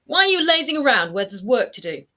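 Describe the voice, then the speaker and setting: A woman putting on a shrill, nagging fallen queen. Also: sing-song, quick pace. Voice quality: high, whining, squawking. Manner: shrill and scolding, rising to near shouting. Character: shrill nagging middle-aged former queen. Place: home room lined with bookshelves. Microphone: webcam framing, seated close to camera.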